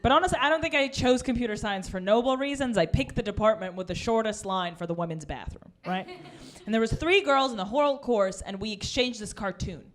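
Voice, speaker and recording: A woman in her twenties from Russia, doing a stand-up comedy set with a deadpan, ironic delivery and quick asides. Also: on the high side. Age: 20s. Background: audience laughter.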